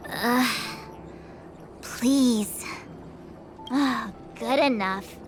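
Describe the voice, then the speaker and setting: Lazy dramatic young gal from a game, highish, slow, teasy, music going. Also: female voice. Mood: mock gloom, teasing. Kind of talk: scripted video game dialogue.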